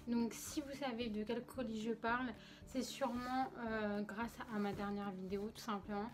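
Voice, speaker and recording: A woman's voice: on the high side, steady tone, moderate pace. A young woman with a slight cold in her throat, chatting casually and giggling as she unboxes makeup. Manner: chatty, filler-heavy, giggly. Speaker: beauty vlogger.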